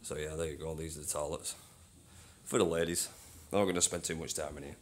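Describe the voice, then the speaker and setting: A man who cleans a train station, giving a casual walking tour on his phone, chatty and rambling, with a deep voice. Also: fairly even intonation, quick pace, slight background noise. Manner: chatty, rambling, says "you know what I mean". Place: old train station toilets and platforms at night. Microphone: handheld phone.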